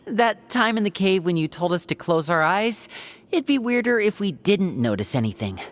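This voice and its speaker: An animated, wry young magician. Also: female voice, mid-range voice, measured pace. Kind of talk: anime video game voice acting.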